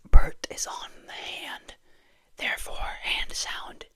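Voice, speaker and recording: A casual ASMR host who speaks entirely in a breathy whisper. The delivery rambles gently and playfully, musing aloud to the viewers in an unhurried, informal way.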